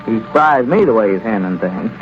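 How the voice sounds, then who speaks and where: An actor playing a plain-spoken country friend with chuckling asides in a 1930s radio comedy. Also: male voice, fairly low pitch, lively intonation, a little fast, faint music behind, lightly acted. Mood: friendly. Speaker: townsman Dick. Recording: lo-fi old radio broadcast.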